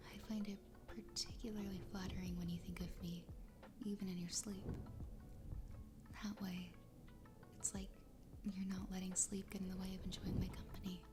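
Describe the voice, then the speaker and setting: A woman voicing an obsessively loving fox girl wife in a soft, breathy, tender ASMR roleplay whisper. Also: mid-range voice, natural voice. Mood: dreamy, devoted. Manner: tender, slow, intimate. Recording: close whisper over background music. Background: music.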